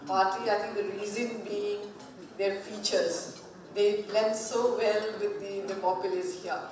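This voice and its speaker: A woman from northeast India, giving a formal speech as a state government representative, relaxed and peppered with "you know". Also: mid-range voice, measured pace. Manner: conversational, with "you know" fillers. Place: hotel function suite. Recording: echoey hall, distant mic, crowd noise.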